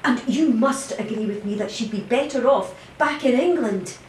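A woman's voice: a little high, normal speed, darkish tone, natural voice. An actress playing an exasperated, outspoken mother in an audio play, with a blunt, insistent manner. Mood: frustrated, insistent. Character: exasperated, outspoken mother running a crowded household. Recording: echoey, distant, faint noise.

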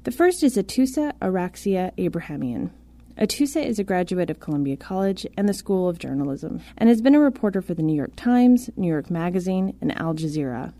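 A woman reads a scripted introduction in a measured, polished way. Her voice is mellow and a little low, and the recording is dry and close. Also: big pitch swings, no echo. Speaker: narrator introducing the writers. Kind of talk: scripted narration.

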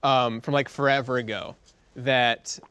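A man's voice: medium pitch, steady tone, unhurried, fairly bright tone, smooth, dry recording. A man co-hosting a podcast, talking in casual discussion. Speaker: podcast co-host.

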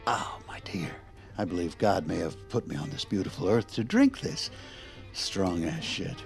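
An actor playing a boozy, folksy, fast-talking charmer of a Washington insider in an audio drama, full of rambling, jokey bluster. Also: male voice, low voice, big pitch swings, gravelly, whispered, soft background music, exaggerated voice. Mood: theatrical, boozy.

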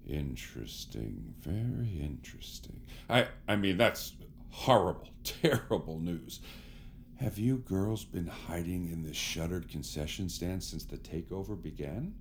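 Smarmy, deep-voiced celebrity. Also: male voice, lively intonation, a little slow, a little hiss or hum. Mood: feigned concern. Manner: pompous, evasive, grandiose. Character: smarmy, theatrical celebrity actor posing as a savior. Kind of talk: audio drama acting.

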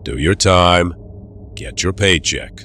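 An actor playing a cold, controlling doctor in an audio drama, giving orders in a stern, measured, quietly menacing way. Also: male voice, deep voice, a little hiss or hum, heavily acted. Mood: quietly threatening.

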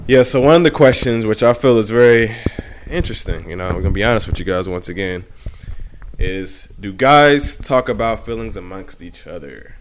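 Stuffy-nosed low-voiced guy. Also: normal speed, a little hiss or hum, rough recording. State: stuffy nose from a cold. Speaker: podcast co-host. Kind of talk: casual chat.

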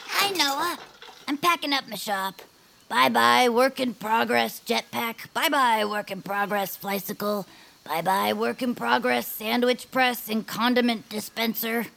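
A woman voicing a wry, dreamy young female peepkin inventor in an audio drama, with a slightly gravelly voice. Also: fairly high pitch, a little slow, full character voice. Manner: wry, deadpan, theatrical.

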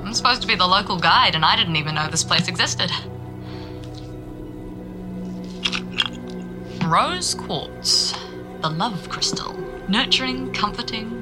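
A woman's voice: mid-range voice, close to an everyday voice. An actress playing a young, nervous and eager woman reporter in naturalistic audio drama dialogue. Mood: wry. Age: young adult. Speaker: young arts radio reporter and local guide.

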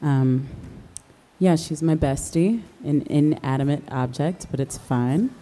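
A woman's voice: low-pitched, takes it slowly, warm tone, slight background noise. A woman musician making playful, informal stage banter before performing.

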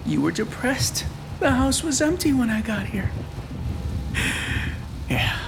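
A man playing a menacing, unhinged schemer in an audio horror drama, muttering in a creaky, half-whispered voice. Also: very high voice, very animated, slow, over music, full character voice. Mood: menacing, plotting. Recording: noisy storm with rain and thunder.

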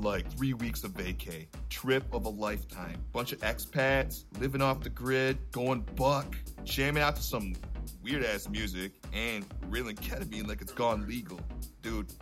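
An actor playing an excitable, scatterbrained male stoner drug dealer, chummy and slangy, in a comic audio drama. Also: mid-range voice, close to an everyday voice. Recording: music behind voice.

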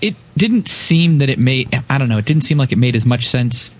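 A man's voice: medium pitch, animated, a little fast. Joking, self-deprecating man on a podcast. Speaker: podcast panelist. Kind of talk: casual chat.

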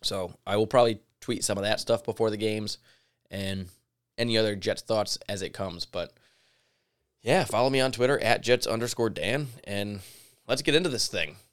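A man hosting a casual solo podcast, chatty and excitable, his voice a little raspy from yelling. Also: on the low side, normal speed. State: slightly raspy from yelling at a game. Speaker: podcast host. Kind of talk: solo sports podcast talk.

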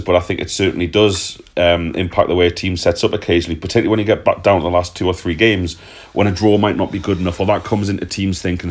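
Deep-voiced man chatting football. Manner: chatty, answers listener questions, swears casually. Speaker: podcast host. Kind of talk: casual football podcast chat.

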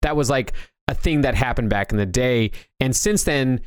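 A man's voice: mid-range voice, clean tone, no echo. A man talks in a loose, conversational way as a podcast co-host. He rambles and circles back to restart his sentences, and the sound is dry and close, with little room to it. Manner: rambling, halting, restarts thoughts often. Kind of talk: casual discussion of movies and games.

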